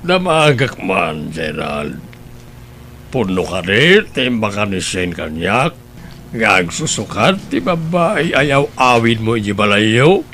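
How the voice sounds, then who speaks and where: A man's voice: lowish voice, sing-song, takes it slowly, a bit bright, slight background noise, exaggerated voice. A man playing a grave, questioning older father of a grown daughter in a radio drama. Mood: stern, doubting.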